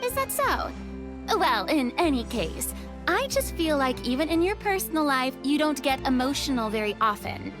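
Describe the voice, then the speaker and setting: Theatrical, candid young lady for a game; very high, swooping, breezy, music behind. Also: normal speed. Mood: musing, teasing. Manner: expressive, breezy, frank. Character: theatrical, candid young female former superior, playful. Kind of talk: video game character dialogue.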